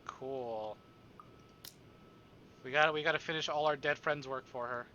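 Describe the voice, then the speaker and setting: A man's voice: mid-range voice, moderate pace, sharp tone, muffled recording, slight background noise. A man livestreaming a visual novel, reading it aloud dramatically and adding casual joking asides.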